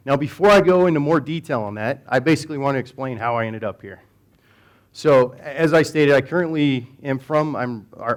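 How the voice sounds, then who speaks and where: Chatty American man giving a conference talk. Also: mid-range voice. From United States. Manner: conversational, with many fillers and restarts. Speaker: conference speaker. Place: conference hall.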